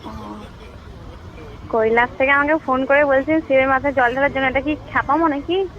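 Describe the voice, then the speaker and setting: A woman in a casual phone conversation, answering a prank caller with curt, sceptical, sharp retorts. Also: sits high, fairly quick. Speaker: recipient of a prank call. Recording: muffled, noisy phone call recording.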